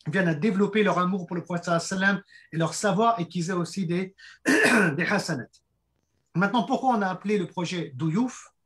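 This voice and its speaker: A hoarse man giving an earnest fundraising presentation, halting with frequent fillers and restarts. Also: high-pitched, a bit bright. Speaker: project presenter.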